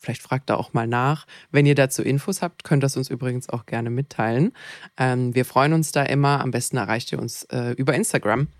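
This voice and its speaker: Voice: medium pitch, fairly even intonation, brisk. A podcast co-host chatting informally and talkatively, with a joking streak. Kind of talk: casual podcast chat.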